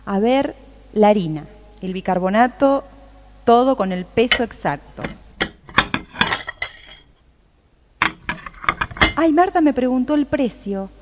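A woman playing a cheerful, dedicated home baker in a radio play, chatty and expressive, with an Argentine Rioplatense accent. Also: a little high, noisy background. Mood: cheerful, absorbed. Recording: very echoey room with long reverb.

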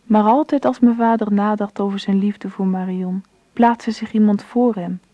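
An actress playing a daughter who narrates her father's story in a radio drama, measured and reflective. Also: medium pitch, fairly even intonation, no echo, natural voice. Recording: dry, dull lo-fi recording.

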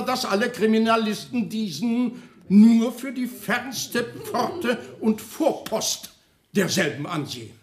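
Radio play: mocking, grandiloquent male official, slow declamation with wide melodic swings, roomy echo. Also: high-pitched. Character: officious, mocking councillor declaiming a satirical speech. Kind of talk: German radio play acting. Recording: roomy, echoing radio studio sound.

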